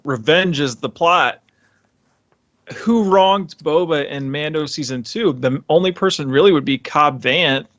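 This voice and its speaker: A man's voice: a little high, measured pace, a bit bright, no room sound, highs cut off. A man speaking as a podcast co-host in casual, enthusiastic, rambling fan chat.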